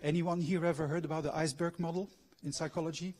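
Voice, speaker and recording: A man's voice: fairly high pitch, fairly even intonation, fast, darkish tone. A middle-aged man giving a public lecture with personal anecdotes, engaging his audience with questions. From Belgian, grew up abroad. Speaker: guest lecturer, former factory manager and trained coach. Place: university lecture hall.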